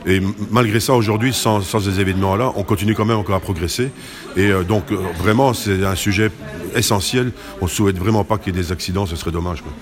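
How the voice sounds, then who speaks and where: Fast-talking, low-voiced man. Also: a crowd in the background. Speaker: carnival committee president, interview guest. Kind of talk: conversational interview answers.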